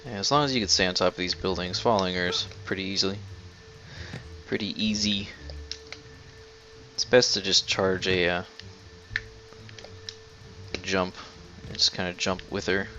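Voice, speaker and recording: A young man giving casual gameplay commentary in a low-key, halting, unhurried way. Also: steady tone, bright, forceful tone, highs cut off. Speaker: gamer, video host. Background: faint game music.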